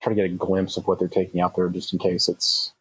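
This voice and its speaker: Guy with a husky lowish voice playing a tabletop rpg, casual improv talk. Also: monotone, quick pace, dry recording, rough recording. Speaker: player in a tabletop roleplaying game.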